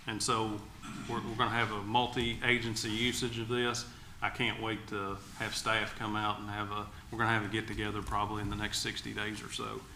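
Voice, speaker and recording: A man's voice: lowish voice, pushed and bright. A man giving enthusiastic remarks as an agency official at a public meeting. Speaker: agency commissioner. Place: indoor public meeting room. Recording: echoey meeting room, faint background noise.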